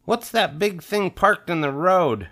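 A man's voice: high voice, expressive, unhurried, pushed and bright, voice pushed up, exaggerated voice. A man voicing a bold young girl bear cub in a storybook for children. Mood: bold, daring.

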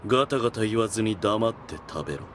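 A man playing a cool, stern, intellectual elder brother, a humanlike machine in a game, speaking in a measured, didactic way. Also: on the low side, expressive, a bit bright, slight background noise. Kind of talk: Japanese game voice acting.

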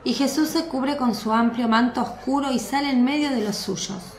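A woman narrates a radio play with a fairly high voice and a clear, storytelling delivery. The voice rings with a noticeable roomy echo. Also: moderate pace. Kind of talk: scripted drama narration. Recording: echoey room.

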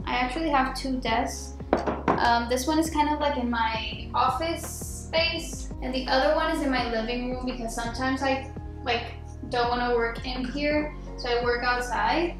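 High-voiced young woman in an echoey room. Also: fairly even intonation, measured pace, loud music behind the voice. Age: young adult. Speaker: vlogger. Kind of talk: casual chat to viewers. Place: echoey home office room, indoors. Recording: echoey room, clear background noise.

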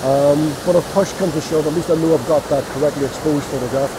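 Man talking over loud rushing water. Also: on the high side, steady tone. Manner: halting, thinking aloud. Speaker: landscape photographer vlogging. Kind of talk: casual explanatory vlog narration. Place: outdoors by a rushing woodland stream. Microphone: lapel mic. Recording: loud hissing waterfall noise.

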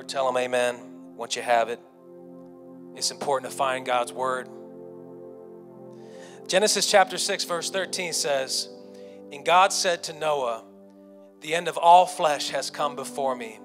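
Higher-pitched American pastor preaching over music. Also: male voice, a bit bright. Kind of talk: sermon to an online congregation. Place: small indoor church stage room. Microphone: handheld microphone held close. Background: keyboard music.

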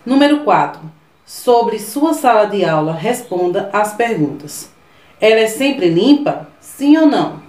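A middle-aged woman teaching young children, speaking slowly and patiently in a sing-song way. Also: medium pitch, sing-song, darkish tone. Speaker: primary school teacher. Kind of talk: remote lesson for young children. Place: small decorated classroom indoors. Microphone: camera microphone from a few meters away. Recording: echoey room, voice from a distance.

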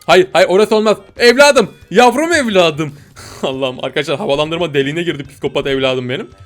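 A man doing playful, excitable gameplay commentary, joking with viewers in slangy exclamations. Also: on the high side, quick pace. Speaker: gaming video host. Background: game music.

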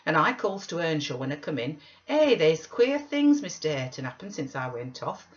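An excitable countrywoman servant acted out by a female narrator, gossipy and exclamatory. Her pitch swoops high and wide as she chatters. The audiobook sound is a little dull. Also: moderate pace.

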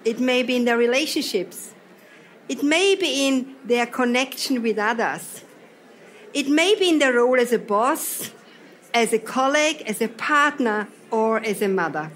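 A woman's voice: a little high, expressive, a little slow, fairly bright tone, a little hiss or hum. Austrian woman leading a workshop talk. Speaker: seminar trainer. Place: event hall.

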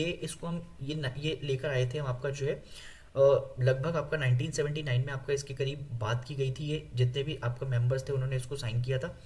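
A man with an Indian accent teaching an exam-prep lesson, explaining with many fillers, reading English lines slowly and asking viewers questions. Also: a little high, darkish tone. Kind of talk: exam-prep lecture over slides.

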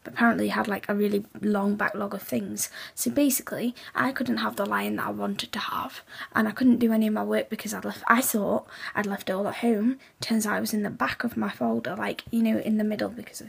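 A teenage girl from England, raspy and hoarse, chatting quietly in a late-night webcam vlog in her room, half-whispering so as not to wake the house. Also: mid-range voice, fairly even intonation, fairly quick, hardly any pauses. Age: teens. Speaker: vlogger. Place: bedroom at night, indoors. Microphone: laptop webcam.

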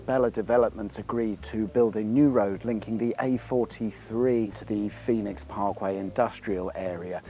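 A man's voice: mid-range voice, a little mellow. An English man in his thirties with a dry, sardonic manner, presenting and narrating from a script. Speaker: presenter of an exploration series. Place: outdoors on an empty open road.

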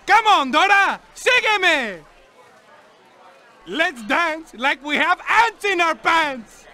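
A man voicing a jolly dancing king in a children's game, booming and theatrical, over game music. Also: sing-song, slow pace, pushed and bright, some chatter behind, exaggerated voice. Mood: playful.

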